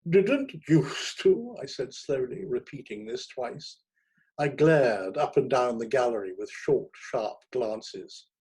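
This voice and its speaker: A man narrating a literary short story in a theatrical, expressive manner. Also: medium pitch, a little slow, husky, poor audio. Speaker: reader of short stories.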